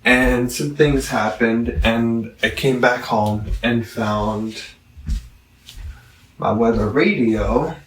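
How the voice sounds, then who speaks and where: A man reviews a product in a casual, meandering way. He speaks slowly at a middling pitch, stopping and drifting off track. The sound is echoey, with the microphone some distance away. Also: steady tone, a bit bright, a little hiss or hum. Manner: halting, digressive delivery with long pauses. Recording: roomy echo, distant microphone.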